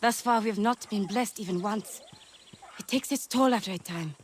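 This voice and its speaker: An actress playing a gentle, wistful settler wife in a video game, soft-spoken and candid. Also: female voice, mid-range voice, moderate pace, natural voice. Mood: sad, resigned. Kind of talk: video game character dialogue. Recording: faint background noise.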